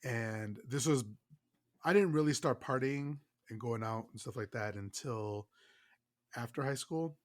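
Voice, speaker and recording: A man's voice: medium pitch, measured pace. A man with a stuffed-up, nasal voice co-hosting a podcast in casual chat, enthusiastic and swearing freely. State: stuffed-up nose. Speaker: podcast co-host.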